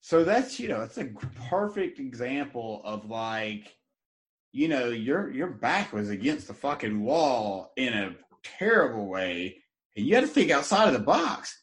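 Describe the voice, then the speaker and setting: A man's voice: mid-range voice, very animated, a little slow. A man hosting a podcast interview, asking rambling questions in a casual way and swearing freely. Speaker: podcast host.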